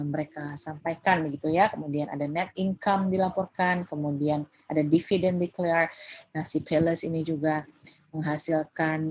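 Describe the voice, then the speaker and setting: A woman's voice: deep voice, darkish tone, poor audio. A woman with an Indonesian accent giving an informal recorded lecture, explaining step by step with English terms mixed in and frequent checking tags. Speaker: accounting lecturer.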